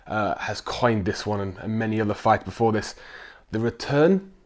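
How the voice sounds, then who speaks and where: A man with a croaky, slightly rough voice from a chest cough. He talks casually to his viewers, often stopping on an um or restarting a sentence. His tone stays easy and conversational. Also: fairly low pitch, moderate pace. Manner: chatty, with frequent ums and restarts. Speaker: sports vlogger. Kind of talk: casual chat to camera.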